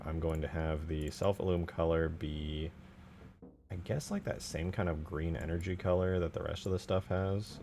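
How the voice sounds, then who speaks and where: A man's voice: rumbling low, vocal fry, a little mellow, slight background noise. A man giving casual live commentary as a game modder, laid-back, muttering asides to himself and swearing casually. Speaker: game modder streaming his work.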